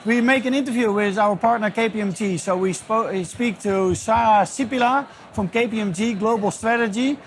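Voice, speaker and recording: A man with a Dutch accent hosting a podcast interview, speaking in simple, halting phrases. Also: high voice, a little hiss or hum. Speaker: podcast host. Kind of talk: casual interview. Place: busy event venue.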